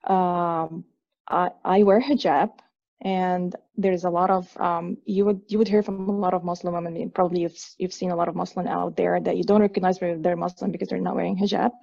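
A woman from Egypt in her thirties talks haltingly, full of fillers and restarts. Her voice is heavily gravelly and mellow. The recording is dry and dull. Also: fairly low pitch, little pitch movement, dry recording. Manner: hesitant, many fillers and restarts. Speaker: guest. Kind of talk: conversational interview.

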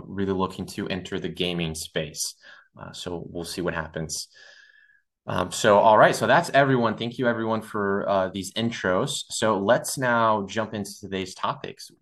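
A young man from Brazil hosts a student podcast in a somewhat low, upbeat voice. He mixes a scripted opening with casual, filler-laden chat. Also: fairly bright tone. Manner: upbeat, with many "awesome"s and uh fillers. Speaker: podcast host.

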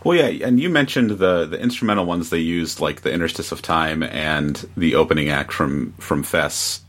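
A man giving a measured, analytical take as a guest in a casual podcast discussion. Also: very deep voice, fairly bright tone. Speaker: guest reviewer.

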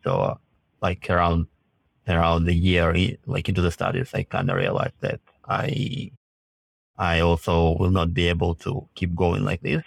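A man, talking as a podcast guest in a halting, unhurried way with many restarts. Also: deep voice, gravelly, breathy edge, no echo. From grew up in the Russian Caucasus. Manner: halting, restarts phrases, laughs easily. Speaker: podcast guest, ice climber. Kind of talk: casual interview talk.

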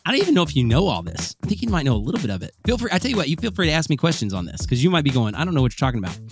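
Excitable sing-song male podcast host. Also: on the high side, racing, creaky, faint music behind. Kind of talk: casual podcast chat.

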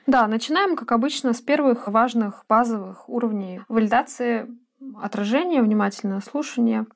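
A woman's voice: on the high side, normal speed. A woman co-hosting a podcast, explaining ideas in a conversational way and acting out short examples. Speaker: podcast co-host.

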